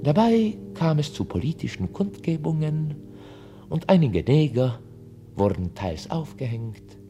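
An actor playing a dry, ironic narrator, reading scripted radio play narration like a documentary report. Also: male voice, a little high, very animated, measured pace, dull audio, over loud music. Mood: dry, reportorial.

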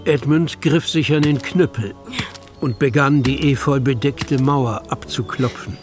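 A man's voice: medium pitch, unhurried. A man narrating a children's audio drama as a scripted storyteller. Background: music.

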